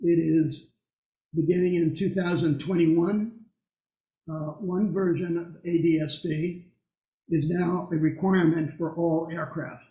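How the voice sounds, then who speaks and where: Halting man presenting in an echoey room. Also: a little high, fairly even intonation, dark tone. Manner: halting, explanatory, with pauses mid-sentence. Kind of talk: technical lecture with question and answer.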